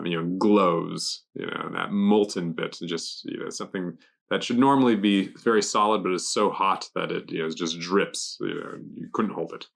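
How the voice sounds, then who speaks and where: A man casually explaining English vocabulary off the cuff, pausing to think and offering loose examples. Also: fairly bright tone. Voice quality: gravelly, low. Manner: halting, thinking aloud with examples. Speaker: guest explaining English words. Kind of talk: casual unscripted word explanations.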